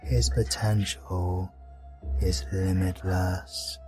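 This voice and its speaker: An actor playing a cold, scheming dark deity in a fantasy audio drama, condescending and menacing, with drawn-out deliberate delivery. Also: male voice, low-pitched, flat delivery, faint music behind. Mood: scheming, triumphant.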